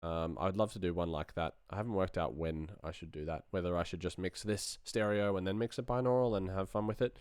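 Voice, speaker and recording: A man with a low, clean voice presents a casual tutorial. He rambles and thinks out loud as he works. The sound is dry, with hardly any room in it. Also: brisk, hardly any pauses, clear, resonant tone, no echo. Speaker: sound designer presenting a livestream.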